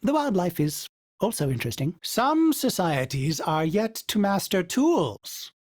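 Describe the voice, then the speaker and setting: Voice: sing-song, measured pace, a little mellow, no echo. A performer voicing a polite, fussy male starship AI who speaks of himself in the third person, through a glitchy synthetic filter, with dry wit and a wistful tone. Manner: courteous, dry wit, sudden pitch jumps. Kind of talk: game character voice lines.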